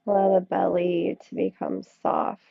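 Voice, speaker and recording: A woman leading a yoga class with slow, soothing guided instruction, speaking in short phrases with long pauses. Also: fairly low pitch, flat delivery, creaky, no room sound. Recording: thin, phone-like band-limited sound, dry.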